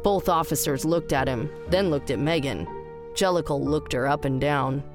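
Low-pitched woman narrating fiction. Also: normal speed, over music.

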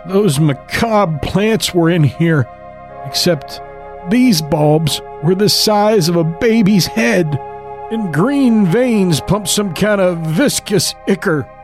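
A man's voice: sits high, expressive, slow pace, faint music behind, pitched above the performer's own voice. A man voicing a frail, rambling old grandfather who tells a horror story in the first person. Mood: eerie storytelling.

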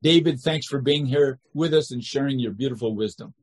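A man hosting a podcast interview, upbeat and encouraging, quick to praise his guest. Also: medium pitch, breathy edge. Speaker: podcast host.

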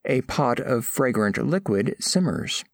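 A man's voice: mid-range voice, sing-song, unhurried, creaky, dry recording, studio quality, exaggerated voice. A man playing a formal, curious alien scholar in an audio drama, narrating observations of humans with precise, clinical phrasing. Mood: observant, formal.

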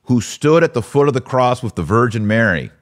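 A man's voice: medium pitch, animated, brisk. A man hosting a podcast, giving religious commentary in a casual conversational style with an emphatic, indignant manner. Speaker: podcast host.

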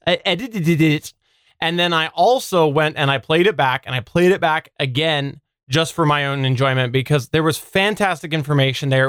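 A man's voice: fairly high pitch, a bit bright, smooth, dry recording, studio quality. A man co-hosting a podcast in casual, effusive talk, repeating himself for emphasis. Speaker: podcast co-host.

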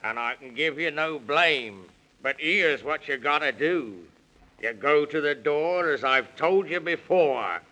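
A cheery British walrus talks in a very bright, forceful voice at an unhurried pace. He is a reassuring old-radio storybook character, jaunty and encouraging as he speaks in rhyme. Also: on the high side, expressive, rough recording. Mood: advising, jaunty. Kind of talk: 1930s children's radio serial acting.

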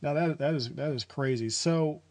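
A man's voice: a little high, normal speed, a little mellow, dry recording. Casual male podcast host, dry sound. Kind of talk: casual chat.

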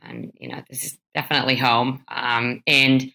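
Very deep, bright-toned woman from Finland. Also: steady tone, gravelly, rough recording. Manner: reflective, halting, searching for words. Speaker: startup founder, guest. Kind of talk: casual spoken self-introduction.

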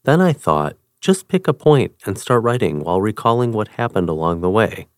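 A man giving a scripted reading of a first-person article in a measured, even tone. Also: lowish voice, no echo, studio quality. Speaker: reader.

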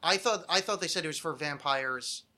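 Bright-voiced, higher-pitched American man. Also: normal speed. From United States. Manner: self-deprecating, profane. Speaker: podcast host. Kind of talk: casual rambling comedic chat.

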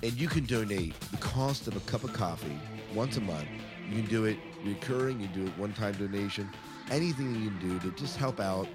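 A man's voice: lowish voice, animated, fairly quick. A man hosting a podcast in casual chat, rambling a little and poking fun at himself. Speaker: podcast host. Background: music under the voice.

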